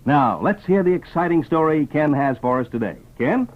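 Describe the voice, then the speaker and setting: Male vintage radio pitchman, highish voice, swooping emphasis, enthusiastic, narrow muffled old recording. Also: animated, moderate pace. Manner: emphatic, enthusiastic salesman delivery. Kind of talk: 1950s radio announcing for children.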